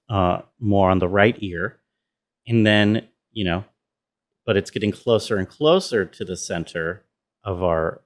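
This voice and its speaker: A man explaining sound design step by step in an informal tutorial style, often dropping in "you know" as he goes. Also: fairly low pitch. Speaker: sound designer presenting a walkthrough.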